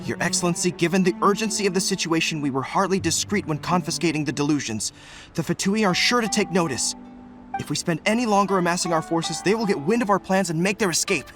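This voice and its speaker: An actor playing a dutiful, resolute army officer in a video game, formal and firm, as if reporting to a superior. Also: male voice, high-pitched, fast talker, fairly bright tone. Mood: earnest, urgent. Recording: music behind the voice.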